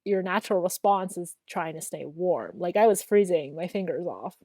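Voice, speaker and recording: A young woman co-hosting a podcast, chatting casually with many fillers and dropping low for asides. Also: animated, normal speed, mellow, no echo. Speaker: podcast co-host. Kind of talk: casual chat.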